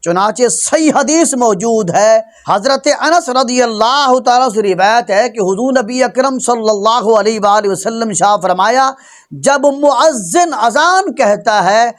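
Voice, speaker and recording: A man's voice: very high-pitched, lively intonation, unhurried. A man preaching an Islamic sermon as a rebuttal, emphatic and admonishing. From Pakistan. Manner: emphatic, admonishing, rhetorical questioning. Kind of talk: religious sermon, argumentative rebuttal.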